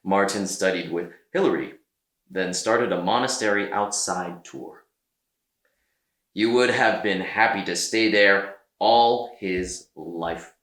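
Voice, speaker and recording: A man reading a children's story aloud as an expressive storyteller, with dramatic pauses. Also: sits low, roomy sound. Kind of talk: animated children's read-aloud narration.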